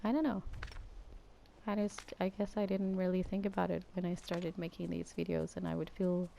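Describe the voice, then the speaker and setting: A woman's voice: fairly low pitch, level tone, normal speed, vocal fry, mellow, a little hiss or hum, poor audio. A young Danish woman, a native Danish speaker with an Americanized English accent, chatting casually as she comments on her gameplay in a rambling, Valley Girl YouTube tone. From Denmark. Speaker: gaming YouTuber.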